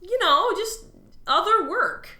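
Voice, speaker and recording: A woman's voice: very high-pitched, animated, slow pace, bright, forceful tone, full character voice. A woman playing a lazy, evasive new alien office worker who dodges blame in a comedic audio drama. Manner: evasive, sheepish, flippant. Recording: roomy echo.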